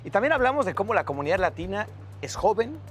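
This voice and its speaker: A man's voice: sits high, big pitch swings, fast, slight background noise. A man working as a news presenter, delivering scripted narration and interview questions.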